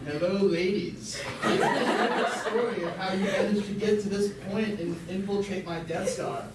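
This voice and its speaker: A woman's voice: medium pitch, a little slow, close to an everyday voice. A woman voicing a bumbling, self-important male king of a space empire in an amateur comic puppet show. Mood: placating. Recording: echoey distant recording with clear noise.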